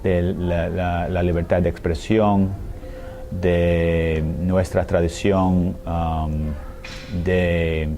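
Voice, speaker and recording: Slow, deep-voiced man, hesitant. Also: level tone, warm tone, slight background noise. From United States. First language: English. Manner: hesitant, with many pauses, fillers and restarts; often ends phrases with a questioning tag. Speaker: interviewee, scholar. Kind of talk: thoughtful conversational interview answers.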